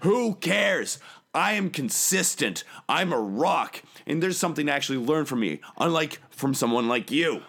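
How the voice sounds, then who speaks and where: A man puts on a swaggering, bullying know-it-all who talks down to everyone, with a gravelly, sharp edge to his voice. He sounds a little high and pushy. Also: expressive, moderate pace. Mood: boastful. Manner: pompous, bullying, condescending. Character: arrogant male loudmouth who thinks he's the best. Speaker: recurring comedic guest. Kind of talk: improvised comedy bit.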